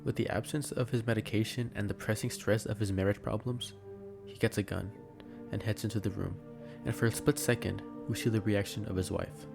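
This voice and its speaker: A man's voice: lowish voice, fairly even intonation, fairly quick, vocal fry, over music. A man giving scripted narration, recapping a horror story as a video essay narrator.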